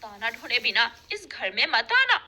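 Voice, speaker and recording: A nagging crow wife and mother played by a woman in a cartoon, high and very bright. She is fed up and sharp with every word. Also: big pitch swings, normal speed, full character voice. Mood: exasperated. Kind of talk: cartoon story voice acting.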